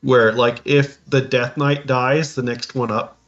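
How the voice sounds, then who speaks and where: A man talks casually and haltingly, often stumbling as he restarts his thoughts. His pitch is mid-range, the sound is dull and music plays behind him. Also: fairly even intonation, fairly bright tone. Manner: halting, stammering, rambling. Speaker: podcast co-host. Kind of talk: casual reaction chat about tabletop games.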